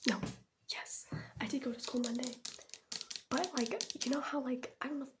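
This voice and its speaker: A teenage girl whispering in a breathy voice, rambling casually and wryly in an ASMR storytime style with youthful slang. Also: high-pitched, steady tone, normal speed, heavy background noise. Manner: chatty, wry, youthful slang. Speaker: ASMR host showing products.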